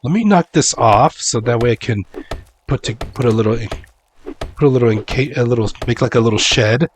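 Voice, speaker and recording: Hoarse man getting over a cold, casual game commentary, mid-pitched, stumbles and repeats words as he plays. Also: normal speed, noisy background. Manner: repeats words, talks himself through tasks.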